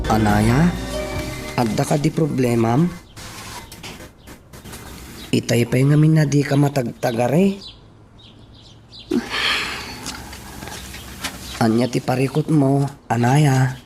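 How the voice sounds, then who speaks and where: A man playing a gentle, devoted young suitor in an Ilocano radio drama, with a hesitant, solicitous way of talking. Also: mid-range voice, slow, creaky, pauses often, faint music behind. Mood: concerned.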